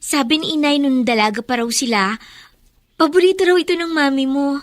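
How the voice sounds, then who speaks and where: A woman's voice: high voice, fairly quick, natural voice. A woman acting an earnest, sensitive Filipino teenage girl in a radio drama, emotive and plaintive. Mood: hesitant, polite. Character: earnest, aggrieved teenage schoolgirl, sensitive and pleading.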